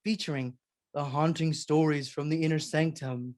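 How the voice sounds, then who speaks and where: A woman's voice: sits low, fairly even intonation, moderate pace, a little mellow, natural voice. Woman as a theatrical 1940s radio announcer. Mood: theatrical, curious. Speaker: announcer and co-host.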